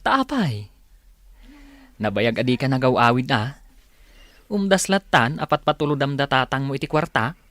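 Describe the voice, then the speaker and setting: A man's voice: mid-range voice, very animated, normal speed, pauses often, a bit bright, no echo. An actor with an Ilocano accent playing a chatty, good-natured young working man in a radio drama. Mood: chatty, teasing.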